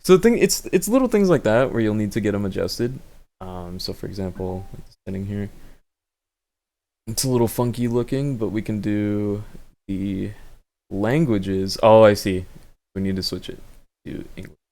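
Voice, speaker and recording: A man's voice: on the low side, animated, moderate pace, dark tone. A man giving a casual, unscripted gameplay walkthrough as a video host, rambling and thinking aloud as he goes. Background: background game music.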